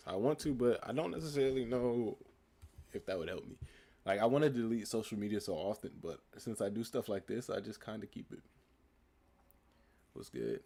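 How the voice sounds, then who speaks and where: A young man from the United States with a calm, laid-back voice, reading live chat questions aloud and answering them in a relaxed, reflective way. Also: on the low side, gravelly. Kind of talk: casual live stream chat.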